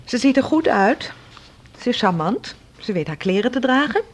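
An actress playing a sharp-tongued, bossy woman in a radio play, brisk and sardonic. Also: on the high side, sing-song. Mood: sarcastic, challenging. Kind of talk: Dutch radio play dialogue.

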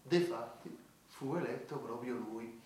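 A man's voice: medium pitch, a little slow, over loud music. A man with a Roman accent telling a verse fable in a theatrical storytelling style. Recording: echoey hall, distant microphone.

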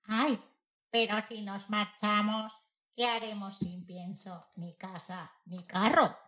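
A woman's voice: medium pitch, steady tone, slow, sharp tone. A woman voicing an anxious, squeamish hen in a dramatic, lamenting way for a children's audio story. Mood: worried. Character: anxious, squeamish female laying hen, nostalgic.

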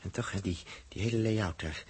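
An actor playing a suspicious, grumbling man with a husky voice and gruff, halting delivery, in 1960s Dutch radio drama style. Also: low-pitched. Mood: wary, grumbling. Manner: gruff, hesitant, repeating himself.

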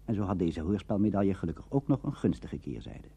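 A man's voice: sits low, lively intonation, fast, mellow, breathy edge. A man presenting a radio documentary, reading scripted narration in a formal register. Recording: dull lo-fi archive radio recording.

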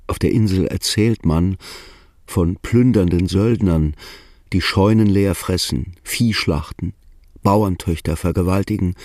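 A man's voice: deep voice, darkish tone, dry recording, studio quality. A man reading scripted narration in a historical documentary style.

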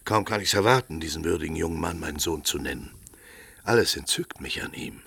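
A man's voice: a bass voice, lively intonation, brisk, vocal fry, fairly bright tone, husky, dry recording, studio quality, heavily acted. An actor playing a stern, proud, hot-tempered middle-aged father, declaiming vehemently in classic radio drama style. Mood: eager, impatient. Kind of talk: 1950s German radio drama acting.

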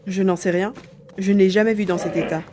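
A woman's voice: mid-range voice, steady tone, fairly quick, very noisy, lightly acted. A woman playing a wary, hesitant young navigator in a French audio drama. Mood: attentive. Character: Karl, wary young navigator grieving a dead father.

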